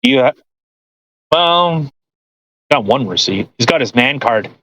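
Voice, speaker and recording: A man's voice: medium pitch, lively intonation, slow pace, bright, forceful tone, slight background noise, poor audio. A man co-hosting a podcast, doing a mocking dramatic reading with sarcastic, profane heckling and banter. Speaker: podcast co-host.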